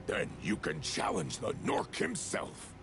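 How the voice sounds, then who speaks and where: Brief: an old dragon mentor, very gravelly, wildly rising and falling tone, slow and solemn, game music behind. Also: male voice, fairly low pitch, wildly swooping pitch, fairly bright tone, full character voice. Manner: solemn, instructive.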